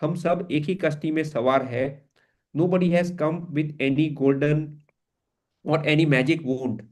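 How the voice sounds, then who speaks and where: A man's voice: on the high side, fairly even intonation, fast, a little mellow. Earnest male career coach. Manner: earnest, emphatic, switches into Hindi phrases. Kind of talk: motivational talk to a community.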